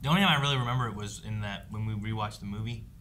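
A man's voice: on the low side, racing, background noise. A man in his thirties with an American accent, chatting as a show host in loose, hesitant phrases. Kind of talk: casual discussion. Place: indoor studio with set shelves.

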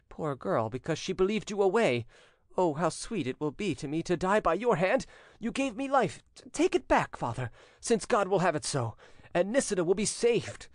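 A man's voice: high-pitched, no room sound, clean studio sound, voice pushed up. A man voicing a noble young condemned son in a dramatic audiobook, tender, earnest and entreating. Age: young adult. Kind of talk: dramatic audiobook character reading.

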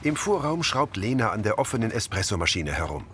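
A man's voice: lowish voice, big pitch swings, fairly quick, very noisy. A man reading scripted audio description for a TV crime series.

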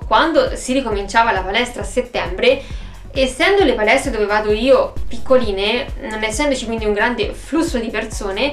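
A woman talks in a fairly high voice, chatty and expressive. She sounds like a vlogger addressing the camera casually from home. The room rings noticeably around her, and some music plays behind. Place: small room at home, indoors. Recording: roomy, echoey home recording.

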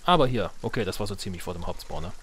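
Fast-talking low-voiced man commenting on a game. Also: expressive, a bit bright, slight background noise. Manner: thinks aloud, addresses viewers. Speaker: gaming host. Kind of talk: casual let's play commentary.

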